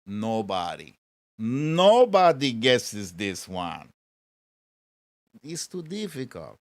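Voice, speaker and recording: Man, live stream host, slow-paced, casual quiz banter, informal, teasing, mildly sweary. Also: medium pitch, big pitch swings.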